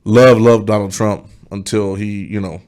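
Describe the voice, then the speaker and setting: A man's voice: lowish voice, fairly even intonation, measured pace, darkish tone, smooth. A man hosting a podcast in casual chat, asking questions, teasing and laughing easily. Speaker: podcast host.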